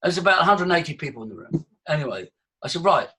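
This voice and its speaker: Husky man coaching voice exercises. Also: a little high, sing-song, fast talker, pushed and bright. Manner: breathy, demonstrative, leads vocal exercises. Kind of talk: live workshop teaching.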